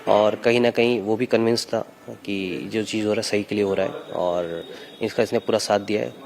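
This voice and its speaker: A man with a fairly low voice gives an official, measured briefing, pausing as he explains. There is faint ambient noise behind him. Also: fairly even intonation, vocal fry, darkish tone. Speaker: police official. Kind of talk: press briefing, unscripted explanation.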